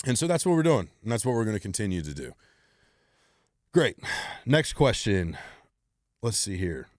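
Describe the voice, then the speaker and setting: A very low-voiced man hosts a solo Q&A podcast. He talks in a blunt, driven way and swears freely. The sound is close and dry, with no room echo. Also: very animated, normal speed. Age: 30s. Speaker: podcast host.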